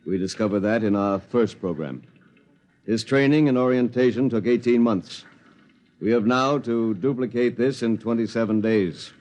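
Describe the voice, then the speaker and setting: A man's voice: medium pitch, normal speed, a little mellow, close to an everyday voice. An American man playing a curt, weary general with a clipped, laconic soldier's delivery in a 1950s radio drama. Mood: matter-of-fact. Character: curt, weary military general briefing the press.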